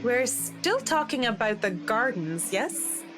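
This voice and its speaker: A woman's voice: fairly high pitch, sing-song, slow, low-quality recording. A woman voicing a composed, businesslike, politely assertive professional in a visual novel game. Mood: polite, curious. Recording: game voice over background music.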